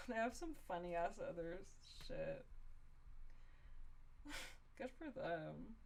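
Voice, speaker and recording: A woman's voice: on the low side, sing-song, takes it slowly, vocal fry, slight background noise. A woman co-hosting a podcast, chatting casually and reacting with giggles and crude jokes. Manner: giggly, crude joking interjections. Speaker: podcast co-host. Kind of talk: casual chat, reacting to stories.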